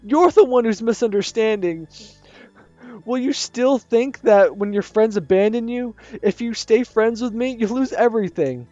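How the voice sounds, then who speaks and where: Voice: medium pitch, moderate pace, darkish tone, no echo, lo-fi sound, soft background music, voice pushed up, lightly acted. A gamer reading a visual novel aloud, voicing a shy teenage schoolgirl who pleads with a wavering voice. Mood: distraught.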